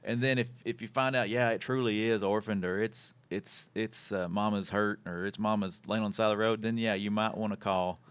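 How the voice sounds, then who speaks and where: Low-pitched man hosting a casual show. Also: fairly even intonation, fairly quick, hardly any pauses, clean tone. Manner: folksy, plain-spoken, informal. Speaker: show host. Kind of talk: casual radio and podcast chat.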